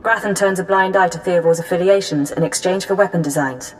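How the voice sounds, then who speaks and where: Game mission controller, played by a woman: cool, brisk woman, slightly low voice, no-nonsense delivery over music. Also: natural voice, rough recording. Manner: clipped, businesslike. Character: brisk, strict female intelligence handler. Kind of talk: video game dialogue acting.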